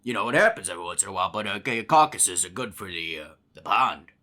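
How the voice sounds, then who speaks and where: A man's voice: lowish voice, big pitch swings, fast talker, pushed and bright. A man voicing a jittery, evasive male alligator rockstar who stammers out nervous excuses in a fan-made game.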